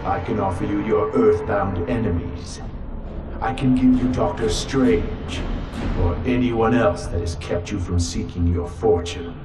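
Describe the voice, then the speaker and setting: A man's voice: fairly low pitch, a little slow, lightly acted. A man playing an arrogant, power-hungry supervillain in a video game, with a pompous, theatrical and imperious delivery. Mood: commanding, bargaining. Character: arrogant, menacing supervillain sorcerer-scientist, grandiose and power-hungry. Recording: echoey, distant, loud music behind.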